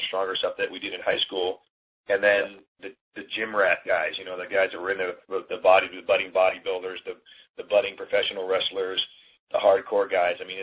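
A man in his forties talks fast and rambles as an interview guest. His voice is deep and husky, with little rise and fall. He comes through a thin, tinny phone line. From born in Hong Kong, raised in the US. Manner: rambling, relaxed, many fillers. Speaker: collegiate strength coach, guest. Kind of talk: casual interview chat. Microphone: phone call.

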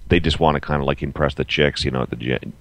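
Very deep-voiced man in his forties, dry sound. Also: no echo. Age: 40s. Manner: halting, emphatic, repeats himself. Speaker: podcast co-host. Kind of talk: casual opinionated chat.

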